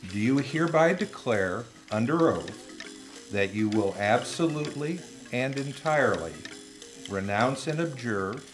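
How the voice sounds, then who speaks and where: A man's voice: medium pitch, lively intonation, a little slow, soft background music. A man formally and steadily administering a citizenship oath.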